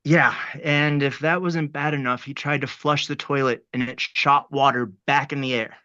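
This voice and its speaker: An actor as an earnest young man working as a substitute teacher. His voice is bright and a little tense, with a hesitant, awkward delivery. The recording sounds somewhat dull and lo-fi. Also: medium pitch, fairly even intonation, normal speed, clear, resonant tone, close to an everyday voice. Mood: uneasy. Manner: hesitant, flustered. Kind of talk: script table read.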